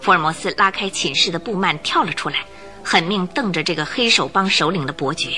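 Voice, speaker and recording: A woman's voice: on the low side, fairly quick, pushed and bright, faint music behind. A woman narrator telling a radio drama story from a script in a vivid, suspenseful, dramatic way.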